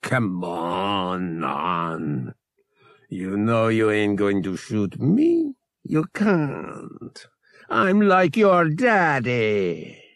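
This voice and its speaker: A man's voice: lowish voice, big pitch swings, extremely slow, a bit bright, no echo, clean studio sound, heavily acted. A man voicing a sly, menacing older captain in an audiobook, with a folksy, wheedling drawl that coaxes and taunts. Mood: mocking, taunting.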